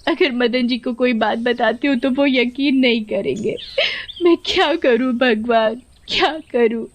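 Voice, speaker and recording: A woman's voice: a little high, unhurried, slight background noise, voice pushed up. A woman voicing a meek, sorrowful young village bride in a cartoon story, plaintive and submissive. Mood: tearful despair. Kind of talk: Hindi cartoon story voice acting.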